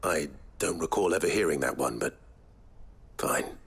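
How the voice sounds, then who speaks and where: An actor playing a gruff, weary fantasy adventurer in a game cutscene, terse and dryly sardonic, with a husky voice. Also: male voice, normal speed, fairly bright tone, heavily acted. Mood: wry. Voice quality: husky, gravelly low voice. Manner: terse, dry, understated. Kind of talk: video game cutscene acting.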